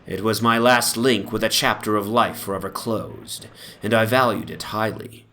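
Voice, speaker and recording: Low-voiced man narrating gothic horror. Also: steady tone, moderate pace, pushed and bright. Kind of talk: dramatic reading of a gothic horror story. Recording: background noise from an ambient soundscape. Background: atmospheric soundscape.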